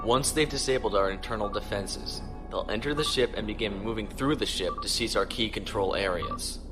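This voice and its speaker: Male actor, starship captain, deep-pitched, measured, commanding, sci-fi audio drama, prominent music behind. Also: close to an everyday voice. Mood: briskly planning. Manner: measured, authoritative.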